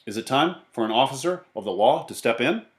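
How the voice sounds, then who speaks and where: A man playing a dutiful, self-important policeman with a deliberate, formal manner in a children's storybook. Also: mid-range voice, expressive, reverberant, natural voice. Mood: earnest, self-important. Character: pompous, dutiful policeman who questions and answers himself.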